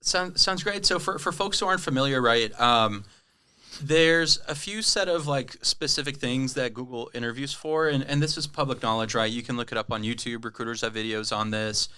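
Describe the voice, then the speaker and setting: A man from Brazil whose first language is Portuguese, a sales rep telling his career story in a casual interview, chatty and quick to laugh. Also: mid-range voice, bright, forceful tone, smooth. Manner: chatty, lots of "right?" tags and laughs. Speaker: guest, cloud sales rep.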